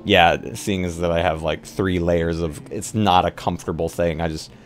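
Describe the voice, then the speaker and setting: A man taking part as a player in an improvised roleplay, speaking casually in a hesitant, halting way. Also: sits low, fairly even intonation. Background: music.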